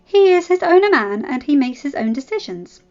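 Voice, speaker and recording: A woman's voice: high-pitched, unhurried, muffled recording, voice pushed up. A woman voicing a proud, defiant young noblewoman who answers her rival with cold, cutting retorts.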